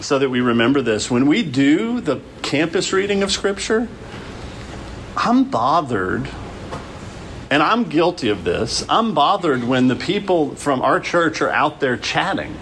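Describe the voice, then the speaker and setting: A man leading a church group discussion in a casual, halting way, with many restarts. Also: fairly high pitch, big pitch swings, a bit bright, a little hiss or hum, poor audio.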